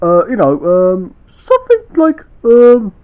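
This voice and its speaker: A man voicing an excitable, easily flustered young friend in a homemade toy play. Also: high voice, unhurried, clear, resonant tone, phone-quality sound, exaggerated voice. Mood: chatty. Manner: flustered and dramatic. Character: excitable boy friend Titus.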